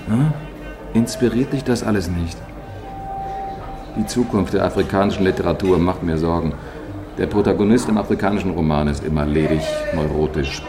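Radio play publisher, male actor: middle-aged man, pompous and probing, low-set voice, music underneath. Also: natural voice. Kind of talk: German radio play dialogue. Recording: music behind the voice.

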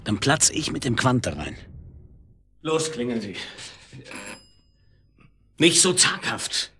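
Wry police chief, dry and ironic. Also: male voice, mid-range voice, very animated, slow, sharp tone, breathy edge, heavily acted. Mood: sardonic, commanding. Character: wry, sardonic male police detective chief. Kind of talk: naturalistic German radio drama acting.

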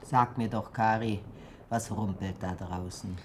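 A woman's voice: very deep voice, steady tone, a little slow, a little hiss or hum. An actress playing a frail, aggrieved old peasant mother in a radio play, lamenting emphatically and fiercely loyal to her son. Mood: bitter lament. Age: older. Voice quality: husky, breathy. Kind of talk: German radio play acting.

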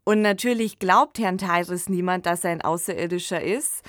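A woman's voice: lowish voice, moderate pace, a bit bright, no room sound, studio quality. A woman hosting a podcast, presenting a scripted summary and addressing her co-host.

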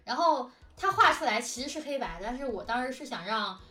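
A woman's voice: on the high side, animated, fairly quick, pushed and bright, echoey room, recorded from a distance, faint music behind. A woman chatting casually and animatedly, giggly and talkative, as one of an audio drama team. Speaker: audio drama production team member, co-host. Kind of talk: casual behind-the-scenes chat.

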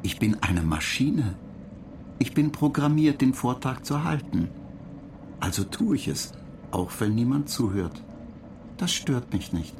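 A man's voice: mid-range voice, sing-song, measured pace, vocal fry, long pauses, background noise. A man playing a dutiful data-agent machine that lectures in a matter-of-fact, pedantic way in a radio play. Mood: insistent. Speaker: narrator.

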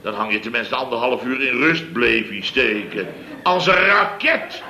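An actor playing a blustering middle-aged building-firm boss in a 1960s radio comedy, telling stories with big theatrical swings. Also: male voice, medium pitch, animated, unhurried, sharp tone, full character voice. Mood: boisterous. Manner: boisterous storytelling, exaggerated swings. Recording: echoey old radio studio recording.

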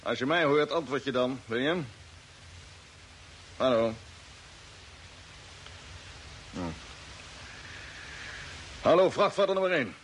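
Lively, determined space commander. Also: male voice, medium pitch, unhurried, pauses often. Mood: businesslike, searching. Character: determined male space expedition commander on a Mars mission. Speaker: actor playing the captain. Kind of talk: old Dutch radio drama acting. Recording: old radio recording with faint hiss.

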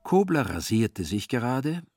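A man with a husky voice reading scripted literary narration in a radio play. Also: medium pitch, big pitch swings, measured pace, darkish tone, dry recording, studio quality, faint music behind.

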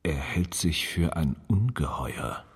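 Very deep, husky, slow priest. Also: male voice, animated, full character voice. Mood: worried, delicate. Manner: slow, hesitant, measured. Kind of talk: radio crime drama acting. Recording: long reverb, like a church.

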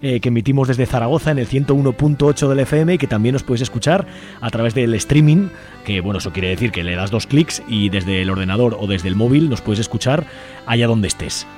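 A man's voice: medium pitch, a little fast, darkish tone. A man hosting an informal community radio show, talking colloquially and directly to listeners and guests. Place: radio studio. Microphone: studio microphone. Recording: music playing behind the voice.

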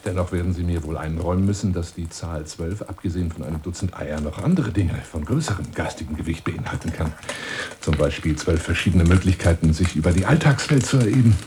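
A deep, pompous, lonely seducer. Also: male voice, expressive, a little fast, noisy background. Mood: pompous, lecturing. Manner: grandiloquent, insinuating, swinging between whispers and declamation. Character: pompous, lonely, seductive male hunter monologuing at a woman. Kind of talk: theatrical radio drama monologue on the telephone.

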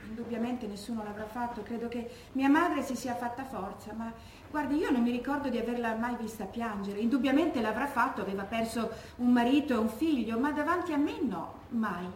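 A woman's voice: a little high, brisk, darkish tone, close to an everyday voice. An actress playing an anguished bereaved daughter who recalls her stoic mother in an intimate, earnest theatrical monologue. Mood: pained, reflective. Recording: echoey room, faint background noise.

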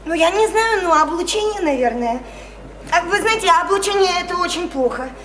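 A woman's voice: very high-pitched, expressive, a little fast, fairly bright tone. An actress playing a naive, odd, chatty young provincial woman in a radio play, rambling earnestly in simple folksy speech with hesitant fillers. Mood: earnest, gossipy. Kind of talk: theatrical radio play dialogue. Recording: echoey, noisy stage radio play recording.

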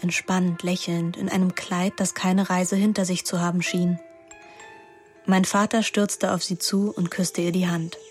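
An actress playing a wry, restless teenage daughter who narrates a literary radio play in an intimate, introspective manner. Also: female voice, lowish voice, level tone, measured pace, darkish tone, natural voice. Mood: wry, brooding. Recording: music behind the voice.

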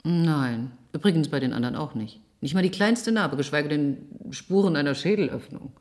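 A low-pitched female doctor in a radio drama. She is composed and grave, and lays out her findings in a measured, clinical manner. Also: expressive, darkish tone. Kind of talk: German radio drama acting.

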